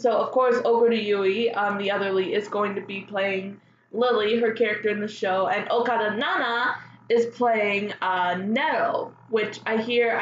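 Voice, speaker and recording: A woman's voice: fairly high pitch, reverberant, dull audio, slight background noise. A woman hosting casual fan news commentary, speaking as a gushing enthusiast with hesitant, filler-dotted delivery. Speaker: host of a fan news show.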